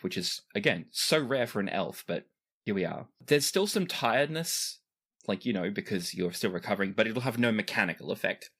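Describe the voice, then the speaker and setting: A man's voice: fairly low pitch, a bit bright, breathy edge. A man narrating a tabletop game as game master, conversational and explanatory with chatty asides. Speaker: game master of a tabletop role-playing podcast.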